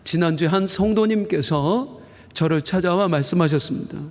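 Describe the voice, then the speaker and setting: A male senior pastor preaching a Sunday sermon in earnest, slowing down for emphasis and rising on key phrases. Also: high-pitched. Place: church sanctuary. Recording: reverberant church hall.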